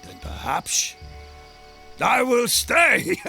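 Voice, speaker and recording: An actor playing a hearty, ale-loving Viking blacksmith who bargains boisterously in a video game scene. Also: male voice, extremely high pitch, wildly swooping pitch, drawn-out words, creaky, pushed and bright, breathy edge, faint music behind, heavily acted. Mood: hearty, bargaining.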